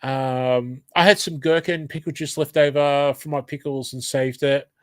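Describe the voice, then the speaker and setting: A man's voice: on the high side, steady tone, a little slow, no room sound, studio quality. An Australian man with hay fever and a blocked nose, casually reading a live stream chat aloud, hesitant and apologetic, with lots of ums.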